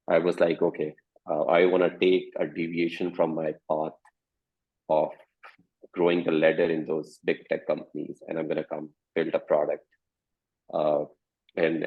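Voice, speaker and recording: A man's voice: rumbling low, vocal fry, husky. A man from Pakistan, a startup founder in his thirties, telling his story thoughtfully on a panel. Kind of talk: panel discussion. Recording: phone-like lo-fi audio.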